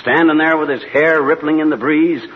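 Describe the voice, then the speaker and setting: An actor playing a grumbling, jealous know-it-all husband, folksy and wisecracking, in 1940s radio comedy style. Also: male voice, fairly high pitch, fairly even intonation, normal speed, natural voice. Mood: chatty, excitable. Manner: folksy, wisecracking, blustery bluffing. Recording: muffled, hissy 1940s radio recording.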